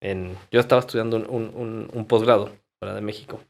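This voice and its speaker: A man's voice: lowish voice, fairly even intonation, a little fast, creaky, darkish tone. Emphatic, salty-tongued Mexican man. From Durango, Mexico. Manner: emphatic, colloquial, salty, uses rhetorical questions and acted-out quotes. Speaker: podcast guest. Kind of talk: casual podcast conversation with anecdotes.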